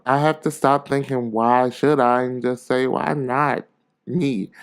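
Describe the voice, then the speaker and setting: A man's voice: mid-range voice, fairly even intonation, unhurried, smooth. A man hosting a podcast, a little congested from a cold, speaking in an earnest, casual, rambling motivational style and repeating phrases for emphasis. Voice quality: stuffy, congested nose. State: getting over a cold. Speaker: podcast host.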